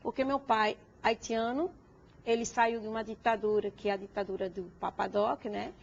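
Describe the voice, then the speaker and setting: High-voiced Cuban-accented woman. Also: fairly even intonation, moderate pace, vocal fry, muffled recording, a little hiss or hum. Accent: Cuban Spanish. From Cuba. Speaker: guest. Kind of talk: conversational interview.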